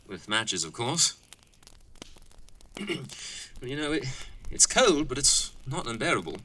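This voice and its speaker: A man's voice: medium pitch, big pitch swings, measured pace, bright, forceful tone, a little hiss or hum, poor audio. A man playing an earnest, talkative young college student camper, chatty but hesitant and musing, in an audio drama. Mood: chatty, curious.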